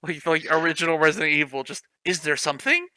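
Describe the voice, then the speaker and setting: A man with a piercingly bright, fairly high voice reads a badly written story aloud, mocking its phrasing. He swears casually and keeps cracking himself up. The sound is close and dry. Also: animated, fast talker, no echo. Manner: mocking, giggly, swears freely. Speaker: reader of a fan fiction. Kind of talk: comedic dramatic reading with commentary.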